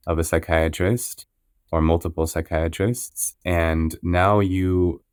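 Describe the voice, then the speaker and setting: A man with a low, level voice hosts a podcast and talks in an earnest, measured way. His tone stays clear and smooth, recorded dry and close. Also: no echo. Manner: measured, with frequent restarts and "you know". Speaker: podcast host. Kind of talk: interview intro, earnest and reflective.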